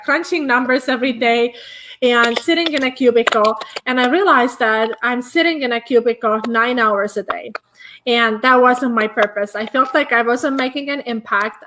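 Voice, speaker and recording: A woman's voice: fairly high pitch, a bit bright, slight background noise, low-quality recording. A woman from Estonia, a business coach guest, talking casually with many fillers in a hotel room. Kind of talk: casual conversation.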